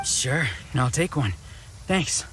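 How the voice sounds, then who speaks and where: An actor playing a tough, stubborn wounded soldier in a video game, blunt and teasing in manner. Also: male voice, medium pitch, expressive, slow pace, noisy background. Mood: dry, blunt.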